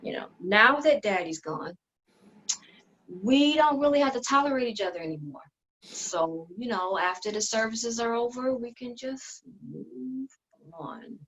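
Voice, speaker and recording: A woman's voice: fairly high pitch, measured pace, a bit bright, poor audio. A woman acting a haughty, dismissive sister with a mocking, condescending delivery in a dramatic play reading. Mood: cool sarcasm.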